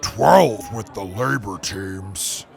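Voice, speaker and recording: A man playing a gravelly, pompous would-be city builder. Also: on the low side, animated, extremely slow, faint music behind, full character voice. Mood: haughty. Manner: haughty, archaic indignant phrasing. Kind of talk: audio drama acting.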